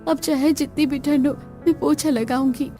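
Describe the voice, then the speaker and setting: A woman's voice: high voice, steady tone, normal speed, mellow, faint music behind, close to an everyday voice. A woman voicing a poor, earnest young daughter-in-law in a cartoon story, polite, pleading and determined.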